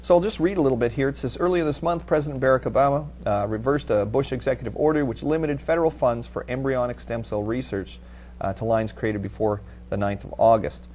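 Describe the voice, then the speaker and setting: A middle-aged man hosting a studio panel talk, reading out and commenting on news in a halting way. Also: mid-range voice, darkish tone. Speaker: TV panel host. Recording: audible background noise with hum.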